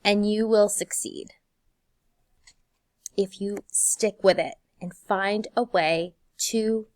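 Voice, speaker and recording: A woman in her thirties, a teacher whose first language is Spanish, speaks to her incoming class in a slow, measured way. Her tone is earnest and encouraging. The recording is dry and close, with no room sound. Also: medium pitch, fairly even intonation, no room sound. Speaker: high school Spanish teacher. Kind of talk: scripted welcome message to students.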